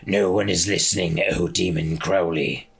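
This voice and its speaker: A man voicing a hooded hellish informant who speaks slowly and formally. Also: low-pitched, steady tone, gravelly, slightly rough, full character voice. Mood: solemn.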